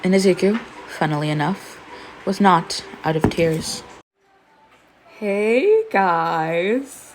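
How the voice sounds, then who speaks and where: A woman's voice: lowish voice, lively intonation, slow, pauses often, background noise. A young adult woman reading fan fiction as an amateur narrator. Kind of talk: expressive scripted story narration, casual asides.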